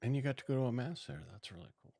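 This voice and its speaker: A middle-aged man co-hosting a podcast, talking in a halting way and often trailing off softly. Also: medium pitch, expressive, vocal fry. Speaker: podcast co-host. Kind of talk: casual chat.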